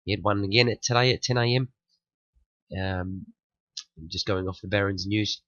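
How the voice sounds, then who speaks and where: A man's voice: on the low side, steady tone, normal speed, a bit bright, no echo, highs cut off. A man hosting a day trading room, recovering from flu and a bit sniffly, talking through the market with many restarts and warnings. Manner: repetitive, restarts phrases, cautionary. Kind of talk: market analysis commentary.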